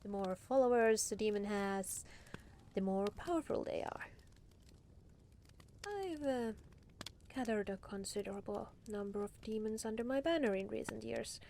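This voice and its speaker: A woman voicing a haughty, teasing demon lord in an ASMR roleplay, condescending and theatrical, with breathy murmurs. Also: mid-range voice, moderate pace, pauses often, warm tone, a little hiss or hum, close to an everyday voice. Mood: haughty, regal.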